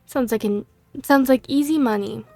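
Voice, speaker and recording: A woman's voice: a little high, normal speed, creaky, warm tone, dry recording, soft background music. A woman reading the lines of a friendly female tavern innkeeper in her own plain voice, slightly losing her voice. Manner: reads dialogue aloud in her own plain voice.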